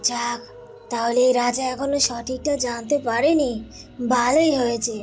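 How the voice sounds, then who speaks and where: A woman acts out a deceitful villainess in a cartoon palace tale. She is sly and plotting in her delivery, with music playing softly beneath. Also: on the high side, steady tone, measured pace, close to an everyday voice. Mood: sly, menacing. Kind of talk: dramatized cartoon villain dialogue.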